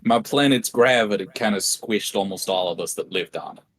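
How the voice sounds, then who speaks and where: A man playing a tabletop game, trading playful deadpan jokes in casual chat. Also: lowish voice, normal speed. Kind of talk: casual joking group chat.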